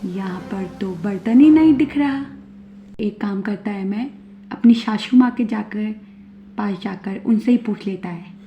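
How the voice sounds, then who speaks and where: A woman voicing a young Nepali bride with a Nepali accent, blunt yet polite, speaking haltingly with broken grammar in a cartoon story. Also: medium pitch, slight background noise, lightly acted. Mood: puzzled. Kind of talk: Hindi animated moral story acting. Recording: dull lo-fi audio, music behind.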